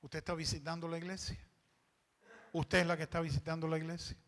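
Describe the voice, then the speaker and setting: Bright-voiced pastor in an echoing church. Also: male voice, fairly high pitch, flat delivery, a little fast. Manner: asks the congregation to fill in words; reads scripture aloud and explains it. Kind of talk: sermon. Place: church hall. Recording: long reverb of a church hall.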